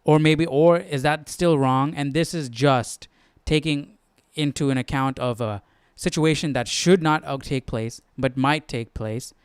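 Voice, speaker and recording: A man's voice: a little high, a little slow, few pauses, clean tone, no room sound, clean studio sound. A man hosting a Bible study, explaining casually and tentatively as he thinks aloud, often checking himself. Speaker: host of a Bible study stream.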